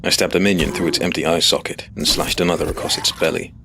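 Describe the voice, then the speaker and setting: A man giving a measured, serious scripted narration of a fantasy story as its first-person hero. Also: low voice, fairly even intonation, vocal fry, background noise.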